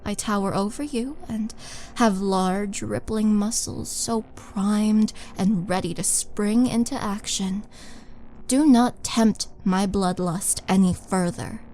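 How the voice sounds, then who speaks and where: Woman playing a slow-speaking, menacing half-orc warrior woman. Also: medium pitch, warm tone, slight background noise, rough recording. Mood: menacing, imperious. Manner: slow, dramatic, menacing and taunting, breathy dips into whisper. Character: towering, muscular, bloodthirsty half-orc murderess, possessive and teasing. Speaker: roleplay voice performer. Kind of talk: intimate audio roleplay acting. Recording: background music under voice.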